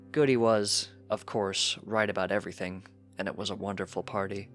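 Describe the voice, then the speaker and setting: A man's voice: lowish voice, a bit bright. A man reading a story aloud as a scripted narration. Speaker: fan fiction reader.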